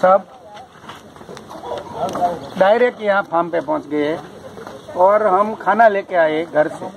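A man's voice: sits high, slow, vocal fry. An older man, casually narrating a family vlog outdoors on a handheld phone. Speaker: vlog host, farm owner. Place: open-air farm yard. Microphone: handheld phone, behind the camera. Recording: noisy outdoor phone video.

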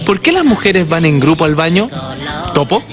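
A cheery kids-show host. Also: male voice, a little high, sing-song, moderate pace, lo-fi sound, music in the background. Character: chirpy kids-show host, then a nonsensical boss.

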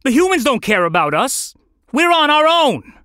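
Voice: high-pitched, huge pitch swings, takes it slowly, a bit bright, dry recording, clean studio sound, voice pushed up, heavily acted. A narrator voicing an irritable, desperate male bird in an audio story, snappish and exclaiming. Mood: exasperated. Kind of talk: audio fiction character voice.

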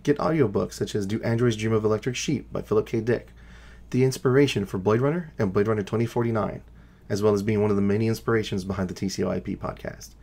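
Male announcer for a sponsor spot, low and creaky, scripted delivery. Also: fast, darkish tone. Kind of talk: scripted ad read.

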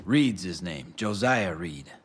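An actor playing a tough, laconic young gunslinger in a western video game, with an American accent. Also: male voice, deep voice, animated, slow, husky, full character voice. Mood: guarded, curt. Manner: curt, laconic. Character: terse, tough young gunslinger on a personal quest. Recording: music behind the dialogue.

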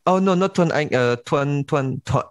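A man's voice: fairly high pitch, normal speed, no echo, studio quality. A man with a Vietnamese accent hosting a podcast interview, speaking in a hesitant way full of fillers and restarts. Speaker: podcast host. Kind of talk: casual interview chat.

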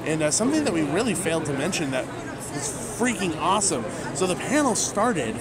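High-voiced man over loud crowd din. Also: very animated, a little fast, very noisy. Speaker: podcast co-host. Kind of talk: casual podcast chat about a fan event.

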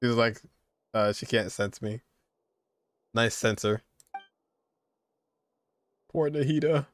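A man's voice: mid-range voice, takes it slowly. A young man streaming casual game reactions in short, muttered exclamations. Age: young adult. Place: indoor room.

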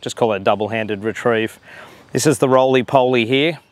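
Fishing guide demonstrating casting technique outdoors. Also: male voice, mid-range voice, brisk, no room sound. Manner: relaxed explaining with hesitations. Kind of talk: instructional demonstration. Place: outdoors on a harbor dock.

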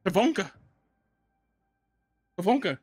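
A man's voice: high-pitched, big pitch swings, slow pace, a little mellow. A young man giving casual, exclamatory, sweary reaction commentary on a film into a close studio mic. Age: young adult. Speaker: reaction video co-host. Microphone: close studio mic on boom arm. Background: film music.

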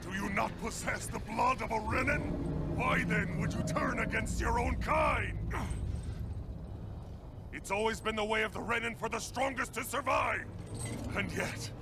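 An arrogant tyrant lord. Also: male voice, high-pitched, measured pace, very noisy. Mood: scornful. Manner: imperious, shouting commands. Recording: game audio with loud battle music. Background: loud battle music, crashes.

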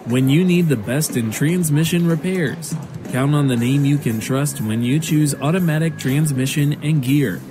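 A man's voice: on the high side, normal speed. A man reading a scripted advertisement as a commercial announcer. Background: music.

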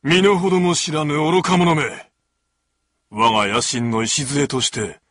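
A man's voice: fairly high pitch, lively intonation, takes it slowly, a bit bright, dry recording, heavily acted. An actor playing a haughty, hot-tempered scheming strategist of ancient China in a video game, contemptuous and pompous as he scolds. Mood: menacing, contemptuous. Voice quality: theatrical, swinging from sneer to shriek. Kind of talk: theatrical video game voice acting. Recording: game music behind the lines.

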